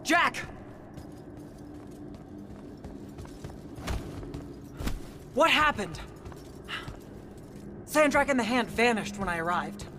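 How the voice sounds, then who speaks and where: Stern, clipped battlemage commander. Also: female voice, on the high side, big pitch swings, measured pace, music in the background. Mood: urgent, impatient. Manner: clipped, authoritative. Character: stern female military commander of battlemages, impatient. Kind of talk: video game cutscene acting.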